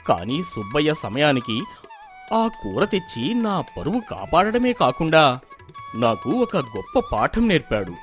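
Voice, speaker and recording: A man's voice: a little high, big pitch swings, moderate pace, a bit bright, soft background music. A man voicing a proud village landlord turned humble and grateful, solemn and earnest, in a moral story. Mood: humbled, grateful. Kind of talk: Telugu moral story dubbing.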